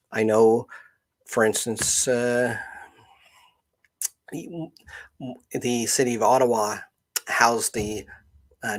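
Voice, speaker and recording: A man hosting an informal live stream, telling stories in a halting way, often repeating and restarting his phrases. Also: on the low side, gravelly, husky, poor audio. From Canada. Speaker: live stream host, genealogist.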